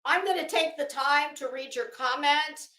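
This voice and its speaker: A woman's voice: sits high, normal speed, bright, forceful tone, reverberant. A woman livestream host giving casual, sardonic commentary with theatrical, emphatic readings. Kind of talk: casual commentary with dramatic reading of a legal document.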